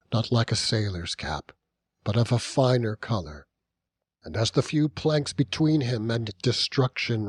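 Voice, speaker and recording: A man narrating a classic novel as an audiobook, in a measured, dramatic storytelling manner with deliberate pauses. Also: mid-range voice, no echo, clean studio sound. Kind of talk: scripted literary narration.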